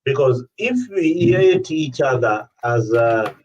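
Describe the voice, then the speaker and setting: A man's voice: mid-range voice, animated, takes it slowly, a little mellow, a little hiss or hum. A man leads a political discussion in an emphatic, repetitive way. His voice sits in the middle of the male range and comes through muffled, a little away from the mic, as on a livestream call. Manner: emphatic, repeats phrases. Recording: dull, distant livestream call audio.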